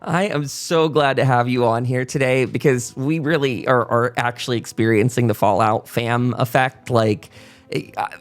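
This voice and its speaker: A man's voice: mid-range voice, steady tone, moderate pace, faint music behind. A man hosting a podcast in a chatty, informal way, moving between announcements and casual interview talk. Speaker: podcast host.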